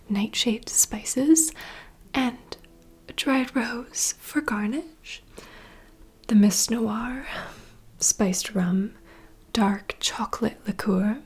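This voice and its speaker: A woman playing a poised, courteous vampire bartender in a whispered ASMR roleplay, unhurried and softly teasing. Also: on the high side, breathy edge, full character voice. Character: poised, welcoming female vampire bartender, proud mixologist.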